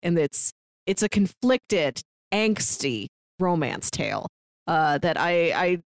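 A woman's voice: fairly low pitch, very animated, takes it slowly. Woman, podcast co-host, casual chat, dry echo-free sound.

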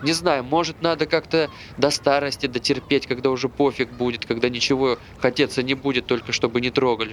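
A man's voice: mid-range voice, a bit bright, a little hiss or hum, lightly acted. An actor playing a bitter, aggrieved man who hates dogs, grumbling conversationally in a naturalistic stage play. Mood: weary, exasperated.

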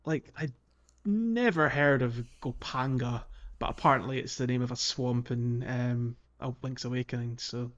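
Dull lo-fi audio, man, average pitch, casual podcast co-host, conversational game talk. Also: moderate pace. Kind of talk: casual two-man video game discussion. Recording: slightly dull, lo-fi voice recording.

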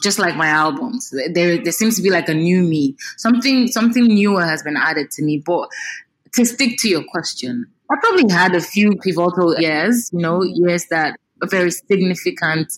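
A woman's voice: on the low side, moderate pace, rough recording. A Nigerian woman in her thirties, a singer talking expressively and animatedly in a casual interview. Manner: expressive, animated, repeats words while thinking.

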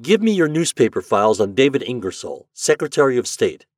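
A man's voice: medium pitch, very animated, fairly quick, no echo, clean studio sound, pitched above the performer's own voice. A man reading a story, voicing a curt, impatient male investigator.

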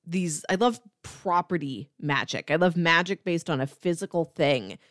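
A woman's voice: sits low, expressive, normal speed, fairly bright tone, no echo, studio quality. A woman co-hosting a podcast, talking casually about books and explaining through listed examples. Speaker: podcast co-host. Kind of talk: casual book discussion.

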